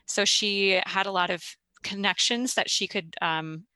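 A woman from Minnesota, a classical singer, speaking thoughtfully in a relaxed interview with some ums. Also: medium pitch, measured pace, no echo. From Minnesota, USA. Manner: thoughtful, articulate, with fillers. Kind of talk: casual conversation.

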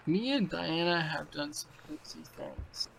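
A young man in his twenties talks crudely and loosely over a video call, piling on fillers and swearing. His voice sits high for a man and carries a gravelly fry. A faint hiss lies under the call. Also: wildly swooping pitch, a little slow, a bit bright, low-quality recording. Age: 20s. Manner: rambling, profane, filler-heavy. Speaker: podcast co-host. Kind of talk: crude casual chat.

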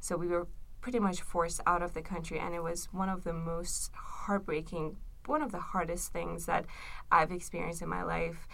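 A woman's voice: fairly low pitch, flat delivery, normal speed, gravelly, fairly bright tone. A young woman from Iran, a graduate student, answering interview questions thoughtfully with many fillers. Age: young adult.

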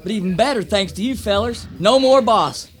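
Wry backwoods logger, male actor, high voice, rustic phrasing, period drama. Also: moderate pace, a little hiss or hum, natural voice. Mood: gleeful. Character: wry, folksy 1890s logger. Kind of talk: acted story drama.

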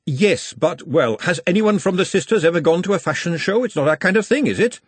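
A stern, brisk woman cook from a storybook, given a raised pitch by a man reading aloud. She speaks bossily, with a husky texture and plenty of movement in the voice. The recording is dry and close. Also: lively intonation, no echo. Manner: stern, bossy.

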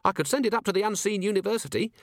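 A man's voice: high voice, very fast, no echo, clean studio sound, voice pushed up, natural voice. A man voicing a timid, deferential female dwarf officer with an apologetic, eager manner in an audiobook. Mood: nervous, apologetic.

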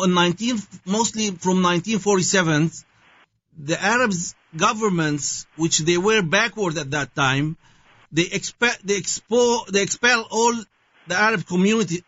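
A man from Syria with a Syrian Arabic accent, answering interview questions haltingly and searching for his words with many fillers. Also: high voice, a bit bright. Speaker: guest. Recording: dull lo-fi sound.

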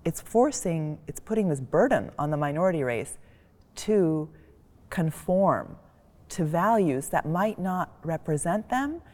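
A woman's voice: lowish voice, expressive, a little slow, darkish tone. A woman speaking on a panel in a library reading room, thoughtful and articulate. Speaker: panelist, community board member. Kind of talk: panel discussion. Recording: long reverb of a large hall.

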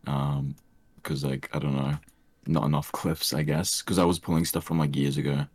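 A young man with a soft, soothing voice, chatting hesitantly as a podcast guest with many fillers. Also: rumbling low, level tone, moderate pace, breathy edge, no room sound. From Australia. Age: young adult. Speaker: streamer guest. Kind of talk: casual podcast chat.

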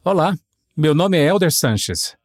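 Higher-pitched man, dry close sound. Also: big pitch swings, measured pace, no echo. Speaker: missionary guest. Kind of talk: personal storytelling.

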